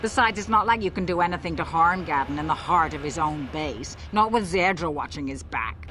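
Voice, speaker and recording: A woman's voice: deep voice, expressive, fairly quick, fairly bright tone, slight background noise. A woman playing a wary, loyal street gang guard in a video game, guarded and challenging. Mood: grudging, warning.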